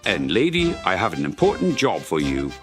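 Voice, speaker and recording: A man's voice: deep voice, wildly swooping pitch, normal speed. A man playing a stern but fair railway controller in a comic dub, speaking formally and with authority. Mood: businesslike. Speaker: railway controller giving orders. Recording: loud music behind.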